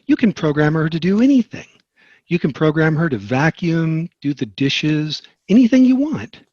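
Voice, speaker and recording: Animated, husky sitcom dad played by a man. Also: fairly high pitch, normal speed, dry recording, muffled recording. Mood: coaxing, practical. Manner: animated, coaxing. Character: suburban sitcom dad, practical, coaxing, friendly.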